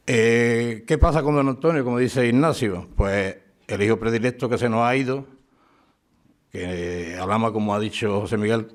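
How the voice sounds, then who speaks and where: A man giving a lively, informal talk at a town tribute, acting out dialogue as he tells an anecdote. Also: fairly low pitch. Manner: chatty storyteller, acts out dialogue. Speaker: speaker giving biographical sketch. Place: town hall event room.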